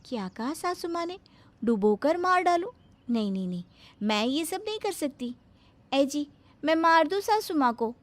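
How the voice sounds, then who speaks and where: A woman's voice: very animated, normal speed. A woman voicing an eager, overly obedient young daughter-in-law who talks sweetly and takes everything literally. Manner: sweet, literal-minded, high and singsong.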